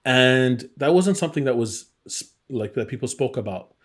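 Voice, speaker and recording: A middle-aged man is giving a personal interview in a conversational way. His voice sits at an ordinary male pitch, and he speaks candidly, retelling experiences and voicing the people in them. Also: lively intonation, moderate pace. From born in Iraq. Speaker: interview guest, game designer.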